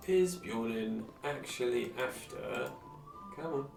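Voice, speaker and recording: A man's voice: mid-range voice, expressive, takes it slowly, creaky, darkish tone, recorded from a distance. A man giving casual live game commentary, muttering wryly to himself as he plays. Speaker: game streamer. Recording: roomy, off-mic, music behind. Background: game music.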